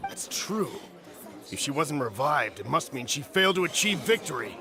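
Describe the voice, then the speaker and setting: An uneasy male bystander in a video game. His mid-pitched voice is agitated and swings in tone, with a crowd audible behind him. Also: sing-song, moderate pace. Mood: doubtful. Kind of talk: English video game voice acting.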